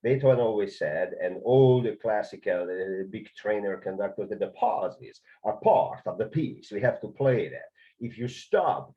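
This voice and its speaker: A man's voice: fairly low pitch, normal speed. A man with a Hungarian accent explaining conducting in a video lesson, hesitant, with many filler sounds and restarts. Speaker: conducting teacher. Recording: dull lo-fi sound.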